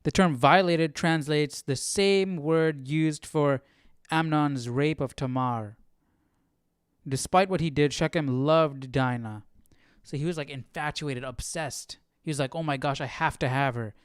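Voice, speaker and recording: A man's voice: fairly high pitch, measured pace, smooth, dry recording, clean studio sound. A man hosting a live Bible study, reading aloud and musing informally in casual commentary. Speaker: host of a Bible study stream.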